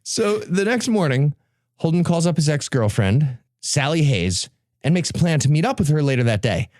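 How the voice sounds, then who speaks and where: A man's voice: on the high side, big pitch swings, normal speed, darkish tone, dry recording, studio quality. A sardonic, emphatic man presenting a comic podcast summary, swearing freely.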